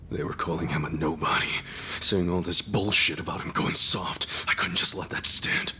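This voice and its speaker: A hot-headed yakuza inmate. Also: male voice, sits low, fairly even intonation, sharp tone, a little hiss or hum. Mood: defiant. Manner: gruff, quick to anger. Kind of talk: video game dub acting.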